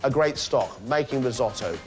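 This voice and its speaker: A man judges a cooking competition, his mid-pitched voice explaining each dish with plain enthusiasm. Soft music plays behind him. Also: expressive, normal speed. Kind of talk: judging commentary.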